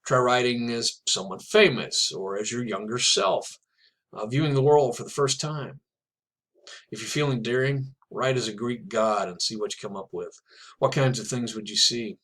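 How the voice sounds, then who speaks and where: A man's voice: mid-range voice, normal speed, fairly bright tone. A man teaching writing in a video lesson. He talks casually and encouragingly, with small laughs now and then. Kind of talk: instructional video talk.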